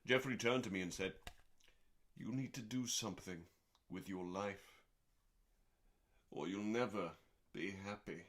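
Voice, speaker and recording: A man reading aloud as host in a calm, dramatic bedtime style, with deliberate theatrical pauses between short phrases. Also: fairly low pitch. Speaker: host and reader. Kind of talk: dramatic, calming audiobook-style cookbook reading.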